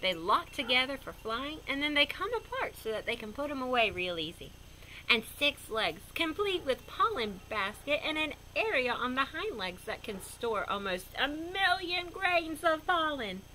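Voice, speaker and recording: A woman reading a children's picture book aloud in a goofy, expressive way with chatty asides. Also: high voice, normal speed, slight background noise, poor audio. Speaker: storybook reader.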